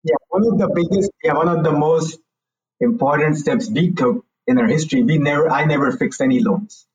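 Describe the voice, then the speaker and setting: A man's voice: a little high, a little fast, darkish tone, distant mic, muffled recording. A man in his forties from India, a guest telling his story frankly in a casual interview. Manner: candid, hedges with "you know, look".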